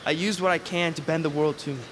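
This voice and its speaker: A man playing a serene, philosophical young blind brother in a fantasy audio drama, with lyrical, earnest, measured phrasing. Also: fairly high pitch, steady tone, fairly bright tone, slight background noise, natural voice. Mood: quietly proud.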